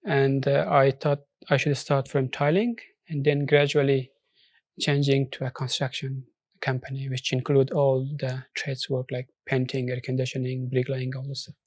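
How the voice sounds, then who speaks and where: A man's voice: mid-range voice, little pitch movement, darkish tone, no echo. Earnest man from Afghanistan, dry studio sound. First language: Persian. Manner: earnest, halting non-native English with restarts. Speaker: social enterprise founder. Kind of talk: studio interview.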